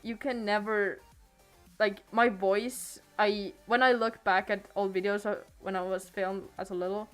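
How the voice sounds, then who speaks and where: A woman's voice: mid-range voice, fairly even intonation, a little slow, clean tone, soft background music. A teenage girl streaming from home on a desk microphone, chatting casually and hesitantly, trailing off and restarting her sentences. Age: late teens. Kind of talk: casual rambling stream chat.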